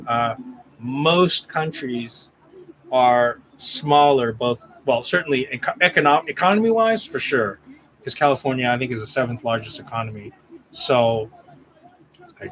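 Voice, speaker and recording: Man, livestream host, casual rambling talk, mid-pitched voice, faint hum behind. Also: a bit bright, poor audio. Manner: rambling, restarts, reads out viewer names. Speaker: channel host.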